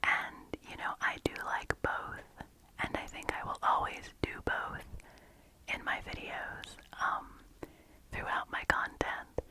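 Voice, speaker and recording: A woman hosting an ASMR channel, rambling in a deep, low, soft-spoken voice that keeps dropping into whispers, close to the microphone. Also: slow, poor audio. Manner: alternates soft low speaking with breathy whispers.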